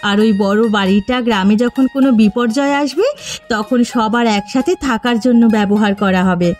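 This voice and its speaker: A woman voicing a gentle, respectful village woman in a cartoon, speaking earnestly and thoughtfully. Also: on the high side, moderate pace, soft background music, close to an everyday voice. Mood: content, thoughtful. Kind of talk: Bengali cartoon voice acting.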